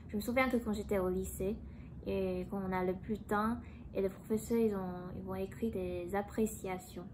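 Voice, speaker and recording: A woman's voice: medium pitch, poor audio. A young woman from Taiwan, speaking French as an in a casual, hesitant chat while answering a quiz. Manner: soft-spoken, hesitant, pauses to find words. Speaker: vlogger. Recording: background music under the voice.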